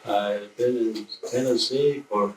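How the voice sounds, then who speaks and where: A man's voice: fairly low pitch, fairly even intonation, slow pace, a little hiss or hum. A man in his nineties, a veteran guest, speaking hesitantly and stopping to search for words. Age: 90s. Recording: echoey room, mic at a distance.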